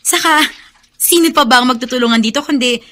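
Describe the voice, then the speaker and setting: A woman's voice: sits high, fairly quick, pushed and bright. A woman playing an eager, friendly young woman in a Filipino radio drama.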